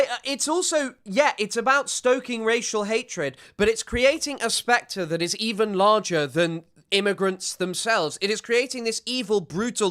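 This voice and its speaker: A man's voice: high-pitched, bright, forceful tone, clear, resonant tone. A man giving sarcastic, rambling commentary while reacting to a clip, often restarting his sentences. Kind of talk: casual commentary.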